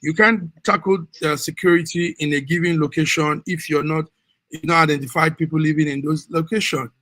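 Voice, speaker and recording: A man's voice: on the high side, fairly even intonation, fairly quick, fairly bright tone, no room sound. A man with a Nigerian accent, moderating a panel discussion as its host.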